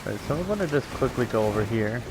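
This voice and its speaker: A man's voice: mid-range voice, animated, rapid-fire, background noise. A man giving casual let's play commentary in a hesitant, rambling way. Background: game music.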